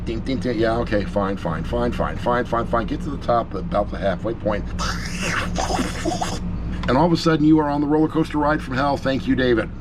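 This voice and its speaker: A man's voice: medium pitch, noisy background. A middle-aged man giving a casual, opinionated review to camera, speaking informally and emphatically with repetition and some mild swearing. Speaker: audiobook reviewer.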